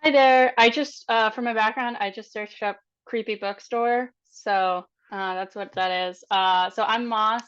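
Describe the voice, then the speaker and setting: A woman's voice: on the high side, fairly even intonation, a little slow, few pauses, fairly bright tone, smooth. A woman, a tabletop player, introducing herself casually on an online video call. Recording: dull, lo-fi call audio.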